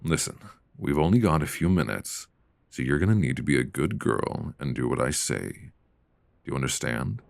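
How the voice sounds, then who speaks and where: Very deep, gravelly middle-aged librarian played by a man. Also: expressive, normal speed, dry recording, heavily acted. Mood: dominant, teasing. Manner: conversational, hesitant, teasing. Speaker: audio roleplay performer. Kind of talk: intimate ASMR roleplay. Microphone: close binaural microphone.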